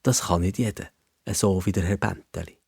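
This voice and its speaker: A man's voice: low-pitched, moderate pace, creaky. A man with a Swiss German accent narrating a children's story in the first person as a storyteller.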